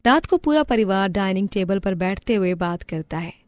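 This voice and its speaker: A woman's voice: medium pitch, lively intonation, moderate pace, dry recording. A woman narrator reading a scripted family moral story.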